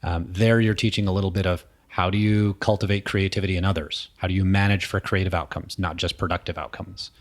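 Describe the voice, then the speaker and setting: A middle-aged man from New York, speaking in a low, deep voice. He explains his ideas thoughtfully as an interview guest, pausing with frequent ums. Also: steady tone. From New York, USA. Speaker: guest, innovation consultant. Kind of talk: conversational interview. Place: indoor room with a small audience.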